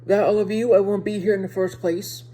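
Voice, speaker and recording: A woman with a soft-edged, mellow voice reviews a show casually for her viewers. She has a speech impediment and moves haltingly through her sentences, often circling back to repeat or reword a phrase. Her pitch sits in the middle range for a woman. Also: fairly even intonation. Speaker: video reviewer.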